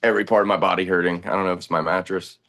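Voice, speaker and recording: A man in his thirties, a band's bass player, talking in a relaxed, rambling way during a casual chat. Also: very fast. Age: 30s.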